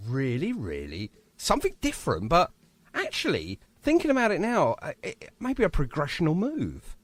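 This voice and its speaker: A man's voice: on the high side, huge pitch swings, moderate pace, a little hiss or hum. A man hosting a casual live radio interview in a chatty, informal, effusive way. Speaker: radio show host.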